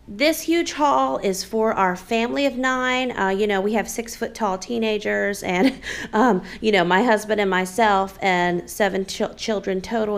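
A chatty woman in her thirties talks through her groceries at her dining table. Her voice sits a touch high with a gravelly fry at the ends of phrases. She rambles with plenty of ums and you-knows, and she sounds picked up by a camera worn on her head. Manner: chatty, rambling with many fillers. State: pregnant. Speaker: vlogger. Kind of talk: casual chatty grocery haul vlog. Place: home dining room, indoors.

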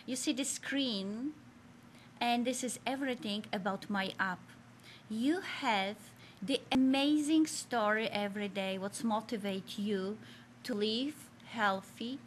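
Slow-speaking Polish-accented woman. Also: a little high, a little hiss or hum. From Poland. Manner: broken English, slow, earnest and encouraging. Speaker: host. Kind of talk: presenting a health show for seniors. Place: studio in front of a green screen.

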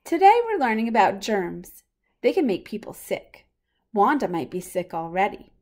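A woman reading a picture book aloud for young children in a simple, expressive way. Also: medium pitch, moderate pace. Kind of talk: children's storybook read-aloud.

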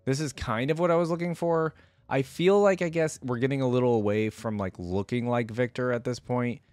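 A man in his thirties with a mid-pitched voice talks casually as a reaction video host. He thinks aloud and often qualifies what he says. The sound is close and dry, from a desk mic in a room. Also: brisk, darkish tone, dry recording, soft background music. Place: small indoor room at a desk. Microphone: close desk microphone.

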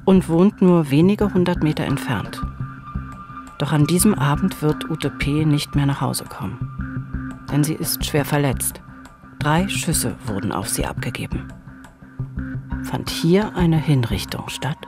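A middle-aged woman co-hosting a true-crime podcast, narrating from a script in a measured, deliberate way at a studio microphone. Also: low voice, lively intonation. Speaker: podcast co-host. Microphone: studio microphone on a boom. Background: music.

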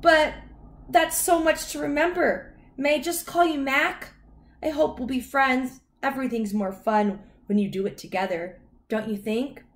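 A gushing, friendly storybook dog played by a woman reader, pitched well up high. She is chatty and eager to please, with a cheerful, apologetic temper. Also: a little slow.

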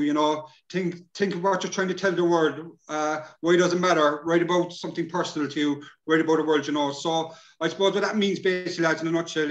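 An Irish man with a fairly high voice presents a workshop in a chatty, informal way. He often repeats little checking phrases like "you know". The sound is dull and lo-fi, as on a video call. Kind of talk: informal instructional talk. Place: indoors, plain room on video call.

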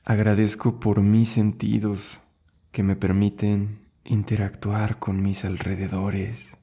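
Man, meditation instructor, dark mellow tone, husky, soothing, short spaced-out phrases. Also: lowish voice, monotone. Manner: soothing, unhurried, short phrases with long pauses. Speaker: yoga and meditation instructor, host. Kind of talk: guided meditation.

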